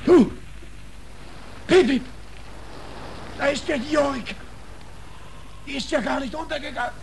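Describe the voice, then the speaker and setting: An actor playing a desperate shipwrecked sailor, halting and pleading, in an old radio drama. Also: male voice, very high-pitched, vocal fry, heavily acted. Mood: delirious excitement. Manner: halting, repeating words, pleading. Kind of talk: 1940s German radio drama acting. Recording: noisy old radio play recording.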